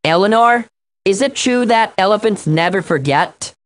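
A woman's voice: mid-range voice, lively intonation, normal speed, no echo, close to an everyday voice. A woman voicing an earnest little male animal in a children's learning game, with a precise, fussy manner. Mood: curious. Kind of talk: children's educational game acting.

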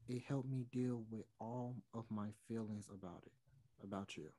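A man's voice: fairly low pitch, steady tone, moderate pace, dark tone, close to an everyday voice. A young man playing a regretful, closeted touring musician, earnest and hesitant as he pleads to reconcile in a play read over video call. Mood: earnest, pleading. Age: young adult. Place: small room at home, indoors.

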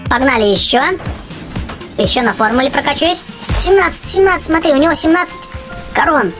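A youthful-sounding gaming host giving excited, chatty gameplay commentary, often exclaiming and repeating phrases. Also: sits high, brisk, over music. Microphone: voice-over on game footage.

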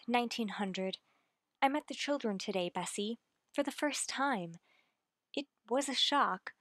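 A woman's voice: fairly high pitch, fairly bright tone, no room sound, studio quality. An actress playing a genteel, sympathetic and inquisitive lady in an old-time radio drama, speaking politely and expressively. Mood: uneasy.

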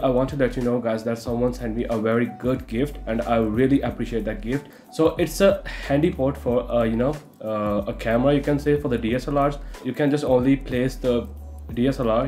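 A man's voice: medium pitch, warm tone, roomy sound. A young man from India with an Indian accent, speaking English as a second language in a casual tech unboxing with lots of "you know" fillers. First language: not English. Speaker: tech reviewer. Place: indoor studio room with curtains.

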